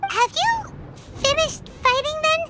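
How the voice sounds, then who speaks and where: A tiny, blunt fairy sidekick for a video game. The voice is extremely high, squeaky and childlike, swinging widely in pitch, over loud background noise. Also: female voice, lively intonation, slow pace, exaggerated voice. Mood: wary, curious. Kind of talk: scripted video game acting.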